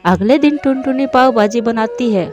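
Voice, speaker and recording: A woman's voice: on the high side, normal speed, a little mellow, soft background music. A woman narrating a scripted children's story as a storyteller.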